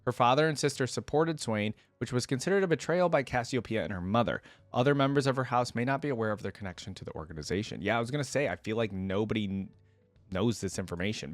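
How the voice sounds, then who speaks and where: A man's voice: medium pitch, no echo. A man hosting a reaction video in an informal, chatty style, commenting and reading lore aloud for viewers.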